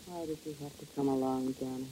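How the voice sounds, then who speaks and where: A woman's voice: rumbling low, fairly even intonation, fast, mellow, slightly rough, low-quality recording. An actress playing a cornered, scheming woman who talks sharply and pleads melodramatically in a 1950s radio drama. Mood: tense. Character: scheming widow, grown woman, cornered and desperate.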